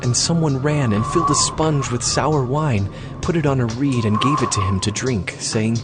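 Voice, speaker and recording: A man's voice: mid-range voice, moderate pace, noisy background. A man giving a scripted, solemn and dramatic narration of a scripture story. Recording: music behind the voice. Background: background music.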